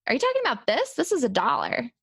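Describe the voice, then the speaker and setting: Female host in improv sketch: higher voice, very animated, conversational and bemused, the straight role. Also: quick pace, gravelly, slightly rough, no echo, studio quality. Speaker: podcast host. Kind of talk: improvised comedy role-play as herself.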